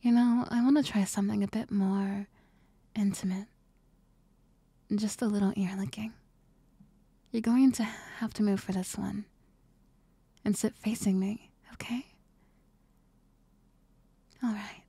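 A young woman playing an affectionate, doting girlfriend in an ASMR roleplay, whispering slowly and tenderly close to the microphone. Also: medium pitch, fairly even intonation, dark tone, no room sound. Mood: adoring, intimate. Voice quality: breathy, whispery. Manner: slow, tender, intimate. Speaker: ASMR performer.

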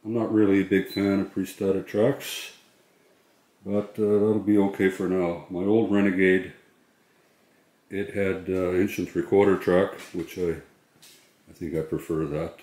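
A man's voice: deep voice, flat delivery, measured pace, darkish tone, roomy sound. A middle-aged man chatting casually to viewers as a vlog host, in an informal, hesitant way. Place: inside a moving truck and a garage.

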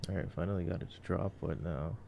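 Very gravelly, low-voiced man, slow ASMR. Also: a little mellow. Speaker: ASMR roleplay voice actor playing a boyfriend. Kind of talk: ASMR roleplay, casual movie commentary. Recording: faint background noise.

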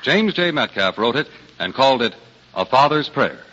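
A man's voice: medium pitch, very animated, moderate pace. An American man announcing a 1940s radio programme in a formal, polished, scripted style. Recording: muffled old 1940s radio recording. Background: loud music.

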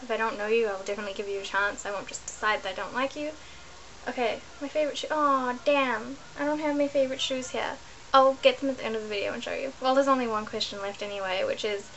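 A woman's voice: on the high side, a bit bright, a little hiss or hum, low-quality recording. Chatty young woman on a laptop webcam. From New Zealand. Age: young adult. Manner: chatty, rambling, self-correcting. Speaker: vlogger. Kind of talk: casual chat to camera. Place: small bedroom indoors.

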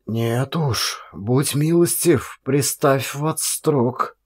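Man voicing a husky, exhausted old vagrant. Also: mid-range voice, a little mellow, voice pushed up. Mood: weary pleading. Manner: weak, drawn-out pleading. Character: exhausted, pitiful, stubborn fifty-year-old male vagrant.

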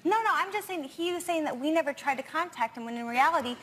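A woman in her thirties, a talk show guest, arguing defensively and insistently, often halting mid-sentence. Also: high voice, lively intonation, a loud crowd. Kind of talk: heated family argument. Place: TV studio with audience.

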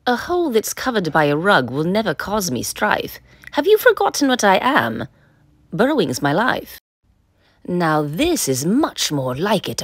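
Lady doing a kids storytime read aloud, very up and down and singsongy, bit husky. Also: fairly low pitch, no room sound, clean studio sound. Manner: sing-song rhyming delivery for children. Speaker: storyteller reading a children's picture book aloud. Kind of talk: expressive read-aloud narration.

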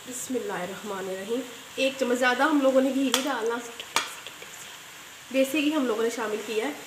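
A woman's voice: fairly high pitch, a little fast, background noise. A woman hosting a home cooking channel, chatting directly to viewers as she demonstrates a recipe step by step at her kitchen stove. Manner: chatty, addresses viewers directly, often adds a pious phrase. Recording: echoey kitchen with loud sizzling and clanking pans.

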